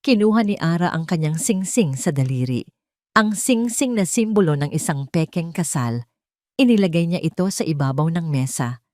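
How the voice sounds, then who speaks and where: Woman storyteller reading a drama script, slightly low voice, really dry recording. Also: lively intonation, normal speed, a little mellow, dry recording. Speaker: narrator.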